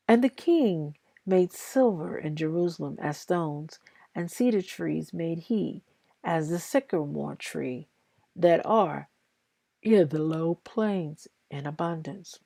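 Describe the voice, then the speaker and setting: Slow-paced woman reading scripture aloud. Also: on the low side, mellow, no room sound. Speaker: scripture reader.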